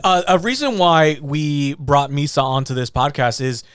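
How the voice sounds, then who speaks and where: A man's voice: on the high side, moderate pace, a bit bright, clean tone, no room sound, studio quality. A man hosting a casual podcast interview, with a teasing, jokey way of talking. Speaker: podcast host.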